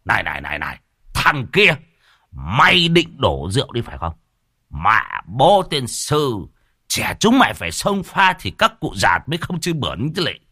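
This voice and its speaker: A man voicing a rowdy old village man who leads drinking toasts, loud and bossy, with curses. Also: mid-range voice, huge pitch swings, moderate pace, husky, no echo, clean studio sound, pitched above the performer's own voice, exaggerated voice. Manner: loud, bossy, cursing, shouting chants.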